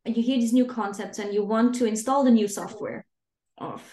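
A woman in her thirties from Austria talks through her ideas as a parenting coach on a podcast. Her voice sits a little high. The recording has a roomy echo. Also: normal speed, mellow. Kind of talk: podcast conversation.

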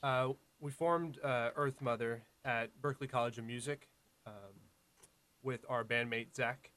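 Band member on radio, American man, mid-pitched voice, casual, hesitant answers. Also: fairly even intonation, measured pace, fairly bright tone. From Washington, D.C., United States. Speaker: band musician, radio guest. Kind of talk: casual interview chat.

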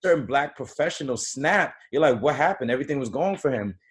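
A man with a mid-range voice thinks aloud in a relaxed podcast conversation. He speaks reflectively, pausing and restarting as he works out his points. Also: medium pitch. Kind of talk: casual panel discussion.

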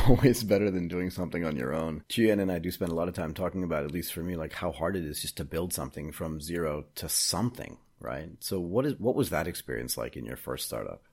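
Middle-aged male podcast host, chatty, very deep, husky voice. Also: fast talker, hardly any pauses, a little mellow. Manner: chatty, friendly, asks long questions. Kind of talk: casual interview.

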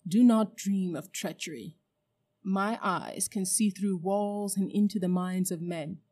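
A woman's voice: mid-range voice, unhurried, dark tone, close to an everyday voice. A woman voicing a cruel, towering witch queen who speaks with cold, scornful command.

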